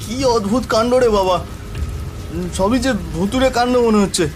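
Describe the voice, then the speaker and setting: Man acting a high, terrified cartoon villager. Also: a little fast, noisy background, close to an everyday voice. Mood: uneasy grumbling. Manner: fearful, whimpering, muttering to himself. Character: terrified village man lost in a stormy night. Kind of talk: melodramatic Bengali horror cartoon acting. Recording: loud music, rain and thunder behind. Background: music, rain, thunder.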